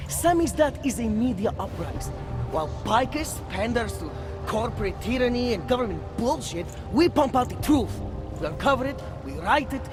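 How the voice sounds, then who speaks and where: An actor playing a paranoid, defiant underground journalist in a video game, a fervent idealist who rambles and stammers in slangy conspiracy rants. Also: male voice, very high-pitched, very animated, normal speed, over music. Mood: proud, zealous.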